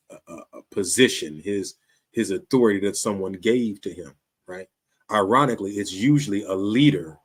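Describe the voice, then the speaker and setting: A man in his fifties with a Chicago accent, hosting his own show and talking straight to camera in a relaxed, slangy way, often checking in with "you dig?". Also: on the low side, husky. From Chicago, USA. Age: 50s. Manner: laid-back street slang, frequent "you dig?" and restarts. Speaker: show host. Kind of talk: casual talk to camera.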